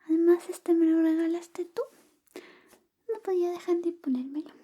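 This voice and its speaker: A young woman playing a coy, jealous best friend in a soft, whispery ASMR personal attention roleplay. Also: fairly even intonation. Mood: playful, evasive. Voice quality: soft, whispery, high-pitched. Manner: slow, coy, murmuring. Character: jealous female best friend secretly in love, teasing, caring.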